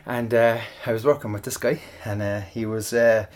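A man's voice: fairly low pitch, fairly even intonation, normal speed. A man chatting casually while working out. He rambles between sets, laughs often, and sounds out of breath and sweaty. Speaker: online audio creator.

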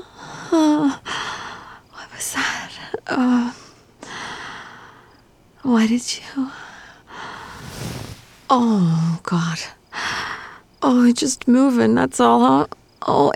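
A woman speaking in a breathy whisper broken by moans and gasps, in an intimate erotic roleplay addressed to a partner. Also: high voice.

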